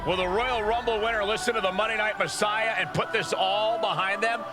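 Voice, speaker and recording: An actor playing an excitable play-by-play wrestling announcer in a video game, calling the action dramatically. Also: male voice, high voice, a little fast, over music, close to an everyday voice. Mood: dramatic. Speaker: commentator. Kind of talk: sports commentary in a game.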